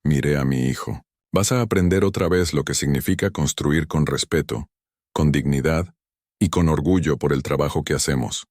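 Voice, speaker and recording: Very deep, measured male storyteller. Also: darkish tone, no room sound, studio quality. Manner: measured, stern and dramatic. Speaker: narrator. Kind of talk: first-person story narration.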